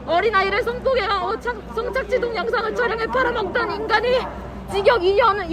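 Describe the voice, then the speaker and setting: A woman speaking at an outdoor political rally and hosting it, her voice pitched up as if through a voice changer. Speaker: protest speaker and event host. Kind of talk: impassioned political speech and announcements at a rally. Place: outdoor city street demonstration. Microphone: handheld microphone. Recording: noisy outdoor street, crowd and traffic.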